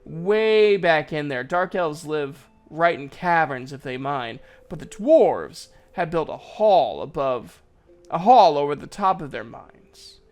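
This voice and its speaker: Higher-pitched man telling a folksy fantasy tale. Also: expressive, slow. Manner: folksy, conversational. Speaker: narrator, voice actor. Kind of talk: first-person storytelling, dramatic fantasy audio reading.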